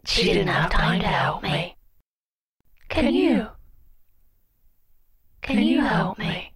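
A woman voicing a creepy, confused old woman spirit who pleads slowly in eerie whispers. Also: medium pitch, sing-song, very noisy. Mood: eerie pleading.

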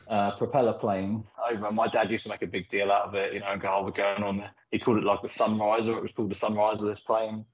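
Man telling rambling stories, echoey. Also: on the low side. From Philippines. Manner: rambling, animated storytelling with frequent fillers. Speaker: pool player guest. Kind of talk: casual podcast interview. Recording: echoey, dull lo-fi recording.

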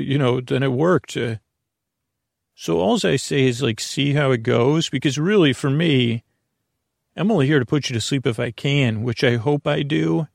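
Male sleep-podcast host, mid-pitched with plenty of vocal fry, halting and digressive bedtime monologue. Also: no echo, clean studio sound. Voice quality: creaky. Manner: meandering, halting, trailing off mid-thought. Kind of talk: rambling bedtime monologue meant to lull listeners to sleep.

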